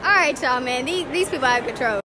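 A woman's voice: high-pitched, expressive, quick pace, background noise. A young woman hosting a casual street interview, hyped and repeating her catchphrases. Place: shopping mall indoors. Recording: busy mall with music and crowd.

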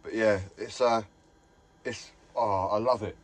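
A man chatting casually to camera from inside his camper van, rambling and enthusiastic, his voice a little hoarse. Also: fairly low pitch, fairly even intonation, measured pace. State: slightly hoarse. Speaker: vlogger. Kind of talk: casual vlog chat.

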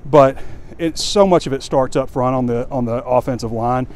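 A man's voice: mid-range voice, moderate pace, a little mellow. A man in his forties giving frustrated, blunt, rambling walk-and-talk sports commentary into a phone at arm's length. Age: 40s. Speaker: sports reporter and publisher. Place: outdoors, walking around a stadium at night. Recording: outdoor handheld recording with ambient noise.

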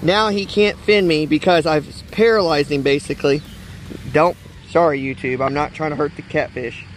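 A high-pitched American man hosts a casual outdoor vlog. He talks in a folksy, chatty way straight to viewers. The handheld camera mic picks up light ambient noise around him. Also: normal speed. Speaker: vlog host, father fishing with family. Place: outdoors beside a park pond. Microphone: handheld phone camera a few feet away.

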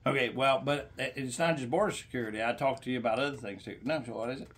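A middle-aged man making a confrontational complaint as a caller, speaking in a halting, insistent, lecturing way, recorded in a small room. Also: medium pitch, a bit bright, slight background noise. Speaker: caller to a senator's office.

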